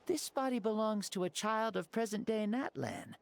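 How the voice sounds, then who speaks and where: A man's voice: high-pitched, normal speed, creaky. An actor voicing a breezy, self-mocking ancient male hero spirit who is borrowing a boy's body, in a game. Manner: easygoing, self-mocking, playful. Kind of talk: game voice acting. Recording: game audio with music under.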